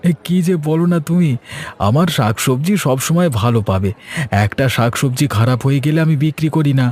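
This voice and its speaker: Man voicing a touchy high-pitched young village vegetable seller. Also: normal speed, darkish tone, lightly acted. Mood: defensive. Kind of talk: animated cartoon story dialogue.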